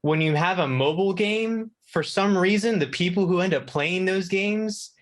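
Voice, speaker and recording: A man's voice: sits high, normal speed. A young man chatting casually as a talk show guest, earnest and a little hesitant. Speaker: guest contributor on video games.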